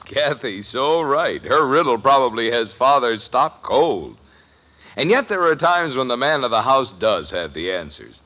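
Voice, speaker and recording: A man's voice: mid-range voice, animated, a little slow, pushed and bright. A man reading a 1950s radio commercial as announcer, in an emphatic, salesmanlike way.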